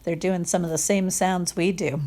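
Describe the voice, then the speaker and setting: A woman reading a picture book aloud to children, expressive, with chatty asides and questions to listeners. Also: on the low side, moderate pace. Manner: expressive, acts out sound words, asks listeners questions. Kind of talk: animated read-aloud storytelling with chatty asides.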